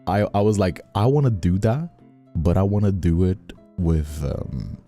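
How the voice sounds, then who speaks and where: A man's voice: low-pitched, lively intonation, measured pace, dark tone. A young adult man chatting casually on a livestream, rambling, stammering and repeating his words. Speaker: streamer and ASMR creator. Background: background music.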